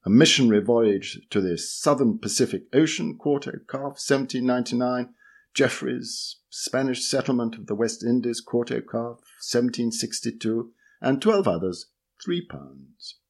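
Husky man reading an essay. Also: medium pitch, expressive. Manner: measured, reads lists of book lots deliberately. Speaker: reader. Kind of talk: scripted narration of an essay.

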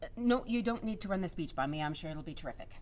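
An actress playing an accommodating, brisk office staffer in a satirical audio drama. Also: female voice, fairly low pitch, lively intonation, a little mellow, close to an everyday voice. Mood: politely reassuring.

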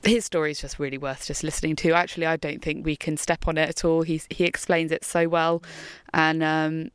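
A woman interviewing a guest in a gentle, casual way, laughing easily. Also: sits low, level tone, fairly quick, clear, resonant tone, no room sound.

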